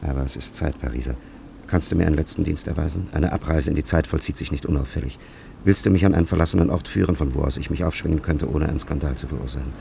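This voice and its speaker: An actor playing a dignified, pleasure-loving time traveller from an ancient land in a radio drama, with a gravelly, husky voice and lofty, formal diction. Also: male voice, a bass voice, fast talker, warm tone, slight background noise, full character voice. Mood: stately, courteous. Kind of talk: German radio drama acting.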